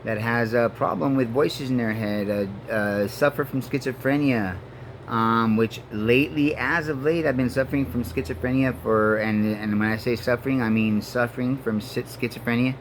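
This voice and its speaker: A man in his forties whose first language is Spanish speaks at a middle pitch, earnest and halting. He fills gaps with ums and restarts sentences often. A faint hum of air conditioning sits under the voice. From Mexico and Denver, Colorado. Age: 40s. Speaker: vlogger. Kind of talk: rambling personal monologue to camera.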